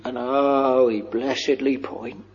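A man preaching a slow, fervent sermon, drawing out his words and sometimes lifting into a chant-like lilt. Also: mid-range voice, little pitch movement. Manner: drawn-out, intoning, breaks into a chant-like lilt. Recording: dull lo-fi recording with long hall reverb.

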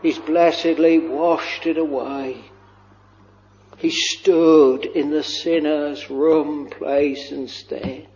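A man preaching a slow, fervent sermon, drawing out his words and sometimes lifting into a chant-like lilt. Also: a little high, fairly even intonation, darkish tone. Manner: drawn-out, intoning, breaks into a chant-like lilt. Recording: dull lo-fi recording with long hall reverb.

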